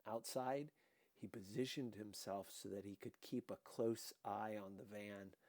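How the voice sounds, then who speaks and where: A man reading a first-person novel aloud as an audiobook, with deliberate, dramatic expression. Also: lowish voice, steady tone, a little mellow, dry recording. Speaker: audiobook reader.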